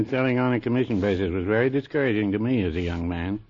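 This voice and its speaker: An actor playing a dry, mild older druggist with a deadpan, teasing delivery in a 1950s radio comedy. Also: male voice, lowish voice, fast talker, natural voice. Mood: mild, reminiscing. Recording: dull old radio recording.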